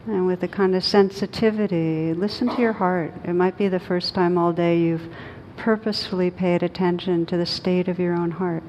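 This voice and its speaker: A woman teaching meditation, guiding a group in a gentle, unhurried manner with long pauses. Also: flat delivery. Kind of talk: guided meditation led for a group. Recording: clearly noisy with low rumble.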